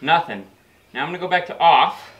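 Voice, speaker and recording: A man's voice: on the high side, animated, a little fast, dull audio, noisy background. A man in his thirties narrating casually to camera while working on an old machine in a barn, muttering to himself as he goes. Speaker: restoration vlogger. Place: open-sided metal barn.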